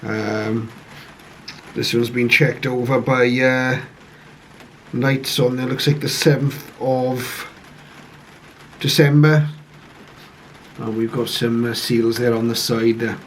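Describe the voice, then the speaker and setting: A man giving a casual, low-key first-look commentary on a piece of equipment, speaking hesitantly and without hurry. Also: flat delivery, slow pace, pauses often, fairly bright tone. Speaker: radio hobbyist reviewer. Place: conservatory, later a home radio room. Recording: clearly noisy home recording.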